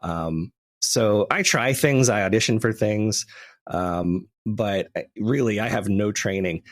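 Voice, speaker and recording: A man's voice: lowish voice, measured pace, dry recording. A man in a casual interview as a podcast creator, self-deprecating and hesitant in his phrasing.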